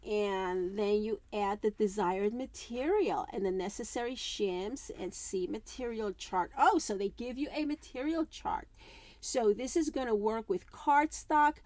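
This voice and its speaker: A woman crafting vlogger going through an unboxing in a chatty way, reading instructions aloud with many fillers, her voice worn and going hoarse. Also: medium pitch, measured pace, a little mellow, no echo. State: losing her voice. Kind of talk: casual unboxing walkthrough.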